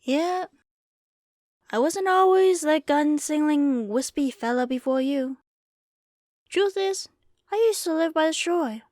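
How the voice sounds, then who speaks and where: Woman voicing a folksy, high-pitched Wild West saloon keeper. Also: expressive, slow pace, pauses often, darkish tone, no echo, clean studio sound. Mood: delighted, teasing. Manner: folksy, rambling, drawling storytelling. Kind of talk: ASMR roleplay, storytelling to the listener.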